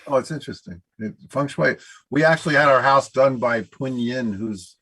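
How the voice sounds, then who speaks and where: A man's voice: mid-range voice, moderate pace, sharp tone, no echo. A man in his sixties hosting a live video call show, chatty and joking, with stammered restarts. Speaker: host. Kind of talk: casual live show chat.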